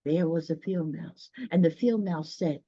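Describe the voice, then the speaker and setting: A woman reading a children's story aloud, pausing dramatically and chatting directly to her listeners. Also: fairly low pitch, mellow, no echo. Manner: dramatic pauses, gasps, talks directly to listeners. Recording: dull, dry lo-fi sound.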